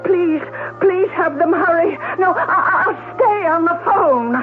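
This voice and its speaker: An actress in a 1970s radio drama playing a bedridden, helpless invalid woman who speaks in halting, pleading stammers. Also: very high voice. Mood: panicked, tearful. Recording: tinny old radio broadcast, music underneath.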